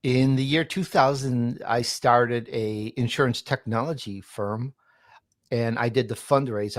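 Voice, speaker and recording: A man's voice: mid-range voice, dry recording, studio quality. A man speaking as an interview guest, telling his business story and giving advice in an emphatic, self-assured, conversational way. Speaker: interview guest, podcaster and entrepreneur.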